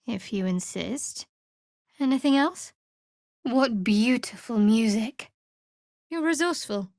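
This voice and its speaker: An actress voicing a guarded, devout dark cleric woman in a video game, with a dry, sardonic, measured delivery. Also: fairly high pitch, animated, no echo. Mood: guarded, composed. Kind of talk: video game character voice acting.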